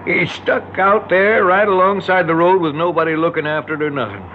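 A man's voice: high-pitched, a little fast, lightly acted. An actor playing a folksy, menacing rural kidnapper and father with a Southern American drawl in a radio drama. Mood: sly, amused. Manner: drawling, folksy, colloquial talk. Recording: dull lo-fi radio recording, hissy background noise.

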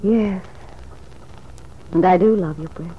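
An actress playing an anxious, tearful married woman in love, speaking earnestly and haltingly in an old radio soap opera. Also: lowish voice. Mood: wounded, confiding. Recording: dull, hissy old radio recording.

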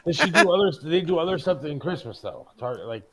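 A man's voice: on the high side, very animated, quick pace, crowd noise. A man co-hosting a talk show in casual, slangy chat, giving blunt opinions.

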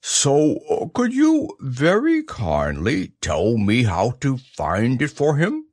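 A man voicing a humble, slow-witted, gentle toy bear in an earnest, plodding way for a storybook. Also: medium pitch, wildly swooping pitch, no echo, clean studio sound, exaggerated voice. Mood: glum. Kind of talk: storybook character voice.

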